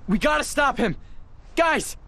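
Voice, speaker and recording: A man's voice: very high voice, animated, measured pace, creaky, pushed and bright, slight background noise, full character voice. An actor playing an earnest, brainy teenage boy inventor in a video game, youthful and sincere. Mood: alarmed. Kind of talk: dramatic video game cutscene acting.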